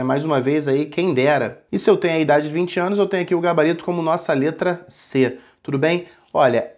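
A man's voice: fairly high pitch, a little mellow. A man in his forties, a math teacher patiently working through an exam problem step by step, speaking to a webcam at home. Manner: patient, repeats and points at the board.

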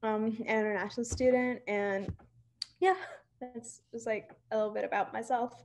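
A woman's voice: fairly high pitch, steady tone, moderate pace, a little mellow. A young woman from Pakistan, a student, introducing herself casually and a little hesitantly on a video call. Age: young adult. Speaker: student panelist.

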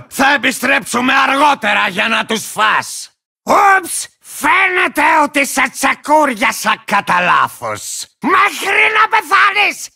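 An actor playing an unhinged, cranky bandit lizard-rider in a video game, boastful and fiercely territorial, with a gravelly, shrill voice and a manic, belligerent manner. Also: male voice, bright, forceful tone, exaggerated voice. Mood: gloating. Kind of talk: shouted video game character lines.

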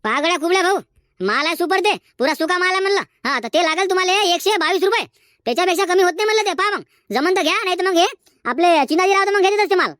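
A performer voicing a haggling, bantering cartoon chilli-market trader, squeaky and folksy, in comedic dialogue. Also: fast, fairly bright tone, no room sound, studio quality. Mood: insistent haggling. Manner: folksy, insistent bargaining. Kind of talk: comedic cartoon dialogue in colloquial rural Marathi.

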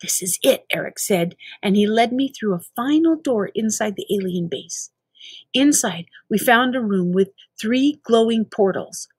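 A woman with a fairly high voice reads a children's story aloud. Her delivery is animated and emphatic, like a storyteller's, and the sound is fairly dry. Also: unhurried, breathy edge, no room sound. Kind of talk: expressive children's book reading.

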